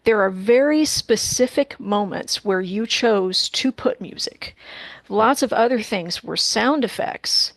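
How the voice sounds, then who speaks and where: A woman interviewing in a casual, conversational way, feeling her way through halting, reworded questions. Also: mid-range voice, dry recording.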